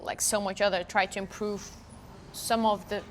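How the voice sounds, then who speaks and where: A woman's voice: medium pitch, flat delivery, moderate pace, a little hiss or hum. A young woman whose first language is Dari, a soccer player talking earnestly and reflectively in a casual interview. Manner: earnest, reflective, speaks in loose thoughtful phrases with fillers.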